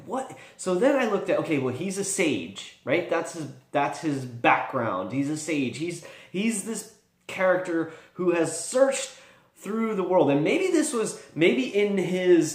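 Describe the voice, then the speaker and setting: A man with a fairly high voice talks in a casual, rambling vlog style. He thinks aloud with animation, and the room around him is noticeably echoey. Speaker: tabletop gaming vlogger.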